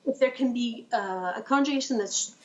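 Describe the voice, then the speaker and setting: A woman with a fairly high voice answers a question over a video call. She speaks hesitantly and rephrases as she goes. The sound is muffled and lo-fi. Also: normal speed. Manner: hesitant, searching for phrasing. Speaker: remote panelist, researcher. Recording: dull lo-fi video call audio.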